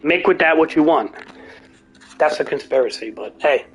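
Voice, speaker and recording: A young man streaming a game, rambling and ranting, swearing now and then, his voice going hoarse. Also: fairly high pitch, moderate pace, rough recording. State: voice getting hoarse. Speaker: gaming streamer. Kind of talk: rambling live-stream chat.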